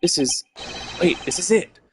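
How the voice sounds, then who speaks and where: A man's voice: sits high, lively intonation, measured pace, a bit bright. A man reacting casually while live streaming a game. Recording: music behind the voice.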